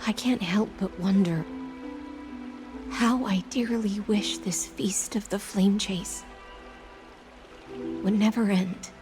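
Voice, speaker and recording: An actress plays a world-weary woman narrating a video game tale. She is slow, measured and theatrical, melancholic and grand, her phrase endings softening over clear background music. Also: mid-range voice, steady tone, natural voice. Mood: wistful.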